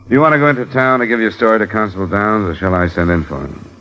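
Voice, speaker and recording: An actor playing a tough, cool insurance investigator in a 1950s radio detective drama. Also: male voice, lowish voice, quick pace, fairly bright tone, close to an everyday voice. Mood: firm, cool. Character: tough, cool hard-boiled male investigator narrating his case. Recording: muffled old radio broadcast.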